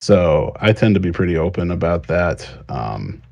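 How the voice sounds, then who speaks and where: A man's voice: low-pitched, sing-song, normal speed, vocal fry, a little mellow. A man on a discussion panel talking casually and candidly, in loose conversational phrases. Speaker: podcast panelist, writer.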